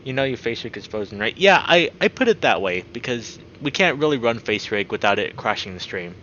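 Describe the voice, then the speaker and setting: Excitable man streaming, bright lively voice. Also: mid-range voice, fairly quick, muffled recording, slight background noise. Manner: excitable, chatty, greets viewers by name. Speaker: game streamer and host. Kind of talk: casual livestream chat while playing a visual novel.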